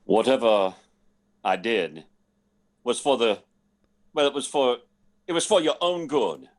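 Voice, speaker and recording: A man playing a sly, evasive older man in a radio drama, halting and patronizing as he betrays a younger friend. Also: medium pitch, lively intonation, natural voice. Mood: evasive, stern. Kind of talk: old-time radio drama acting.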